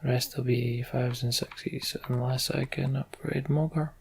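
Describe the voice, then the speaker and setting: Man, very gravelly, strongly husky and breathy, game let's play host, casual narration. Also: medium pitch, fairly even intonation, unhurried. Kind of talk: casual game commentary mixed with read-aloud story narration.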